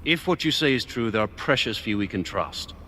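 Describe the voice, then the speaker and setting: A man's voice: lowish voice, lively intonation, brisk, sharp tone, a little hiss or hum. A man playing a proper, skeptical aristocratic Victorian knight commander in a game cutscene, speaking formally with a British accent. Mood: guarded. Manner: clipped, formal, haughty. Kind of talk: video game cutscene acting, period drama.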